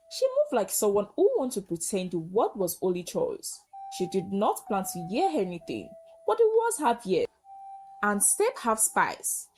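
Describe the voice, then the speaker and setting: A woman narrating a folktale as a storyteller, with earnest, expressive and dramatic delivery. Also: mid-range voice, slight background noise, rough recording.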